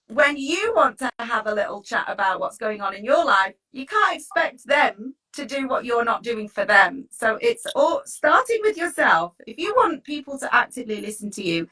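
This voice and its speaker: A woman's voice: on the high side, bright, forceful tone. A woman giving an informal coaching talk in a chatty, encouraging way, often checking in with tag questions. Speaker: teacher wellbeing coach leading a talk. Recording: distant microphone.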